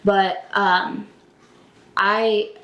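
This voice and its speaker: Young female co-host, average pitch, wry casual banter, echoing room. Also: little pitch movement, extremely slow, fairly bright tone. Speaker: podcast co-host. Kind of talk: casual panel chat about pro wrestling. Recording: roomy, echoing room sound.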